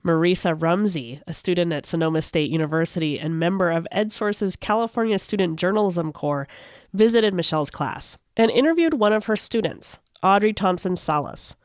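A woman's voice: on the low side, moderate pace, dry recording, studio quality. A woman working as a podcast host and radio reporter, narrating and asking questions conversationally.

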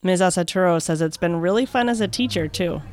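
A woman's voice: lowish voice, fairly quick, darkish tone. A woman hosting a podcast, reading scripted narration and asking interview questions. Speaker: podcast host.

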